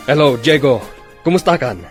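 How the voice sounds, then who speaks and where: A man's voice: mid-range voice, moderate pace, over music, lightly acted. An actor with a Filipino accent playing a sociable man chatting with an old comrade in a radio drama.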